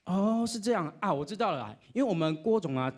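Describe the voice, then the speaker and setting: High-pitched, animated Taiwanese male presenter. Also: quick pace. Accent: Taiwanese Mandarin. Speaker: radio DJ presenting award nominations. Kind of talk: live stage presenting with comic banter. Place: on stage at an awards announcement event.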